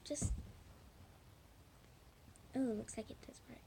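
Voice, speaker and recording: A woman chatting casually and haltingly through a hands-on demonstration for viewers, with a hoarse, breathy voice that keeps thinning into a whisper. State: hoarse, losing her voice. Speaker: slime video maker talking to viewers. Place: at home indoors. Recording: noisy amateur home recording.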